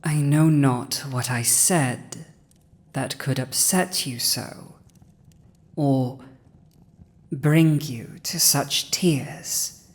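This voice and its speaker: A woman's voice: a bass voice, exaggerated voice. A woman playing a proud villainous dragon who has seized a human throne, speaking formal, archaic lines in a scripted audio roleplay. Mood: remorseful, subdued. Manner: formal archaic diction, haughty, deliberate.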